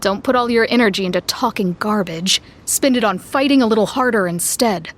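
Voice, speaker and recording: A woman's voice: medium pitch, a little fast, background noise, natural voice. A woman playing a composed, frank young duelist in an anime game, with a polite and earnest manner.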